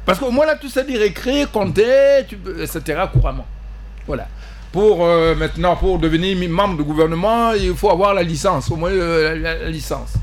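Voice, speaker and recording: A man from the Central African Republic, a party president speaking as a guest in a panel debate, halting, repeating words and pressing his points. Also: high voice, a little hiss or hum. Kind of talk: panel talk show debate.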